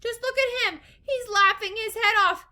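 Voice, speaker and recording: A woman's voice: very high-pitched, normal speed, bright, forceful tone, voice pushed up, exaggerated voice. A woman voicing a frantic, bossy mother in a storybook, screaming and snapping indignantly. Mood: furious, indignant.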